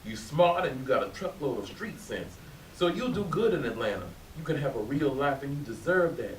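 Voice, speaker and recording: A man's voice: mid-range voice, animated, normal speed, lightly acted. A man playing a laid-back, plain-spoken cook and caring family friend in a staged play reading. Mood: earnest, caring. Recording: echoey, hissy room from far off.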